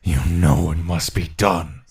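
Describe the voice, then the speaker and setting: A man voicing a sinister dark power in an audio drama, commanding and coaxing toward vengeance. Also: deep voice, fairly even intonation, slow, vocal fry, heavily acted.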